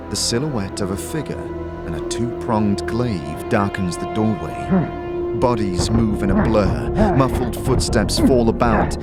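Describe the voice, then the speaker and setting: A man narrating a fantasy audio drama in a measured, literary, scripted style, as dramatic storytelling. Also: mid-range voice. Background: loud music.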